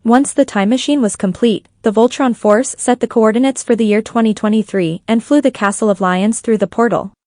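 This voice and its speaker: A woman's voice: fairly high pitch, steady tone, brisk, few pauses, warm tone. A woman reading scripted fan fiction as a story narrator.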